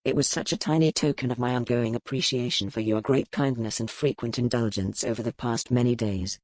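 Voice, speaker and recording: A man's voice: mid-range voice, fairly quick, no echo, close to an everyday voice. A male actor voices a menacing nobleman from a historical romance. He is pompous and mocking, addressing others in formal, insinuating phrases. The recording is close and dry. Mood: oily insinuation. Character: arrogant, menacing aristocratic English rake, smooth and mocking. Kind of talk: historical romance audiobook character dialogue.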